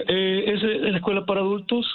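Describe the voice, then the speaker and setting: Over a dull phone line comes a fairly high-voiced man with a Salvadoran accent. He is a caller to a radio show. He speaks politely and haltingly as he gives his personal account. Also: little pitch movement.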